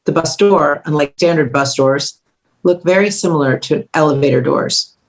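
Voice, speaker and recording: A woman's voice: sits low, normal speed, low-quality recording. Woman reading stage directions for a script table read, scripted narrator style.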